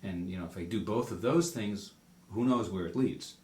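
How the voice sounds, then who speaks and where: A man, a speech therapist, asking gentle, encouraging questions during a session. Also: deep voice, moderate pace, echoey room.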